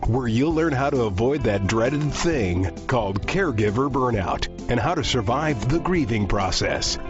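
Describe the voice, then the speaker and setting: A male announcer reading a scripted introduction to a radio program. Clear music plays underneath, and the voice sounds slightly dull and lo-fi. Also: mid-range voice. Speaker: show announcer.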